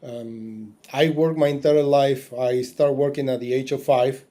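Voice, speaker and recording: Man in his forties, warm dark tone, halting interview guest talk. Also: mid-range voice, steady tone. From Argentina. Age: 40s. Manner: halting, with many fillers and restarts. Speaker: podcast guest.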